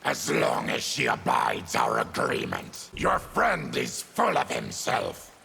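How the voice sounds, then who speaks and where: A man voicing a bitter, proud mutant warrior in a fighting game, with a gravelly growl and a slow, menacing delivery. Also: mid-range voice, wildly swooping pitch, pushed and bright, heavily acted. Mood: gruff. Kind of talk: fighting game character dialogue.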